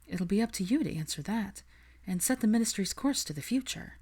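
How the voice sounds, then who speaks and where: A woman's voice: mid-range voice, expressive, brisk, mellow, husky, voice pushed up. A woman playing an earnest, idealistic young witch in a story reading, thoughtful and principled. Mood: earnest, reassuring.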